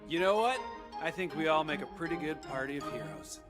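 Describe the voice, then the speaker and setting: Animated, earnest adventurer. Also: female voice, sits low, fairly quick, over music. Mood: warm. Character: friendly, upbeat female tabletop player and adventurer. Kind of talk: animated video game acting.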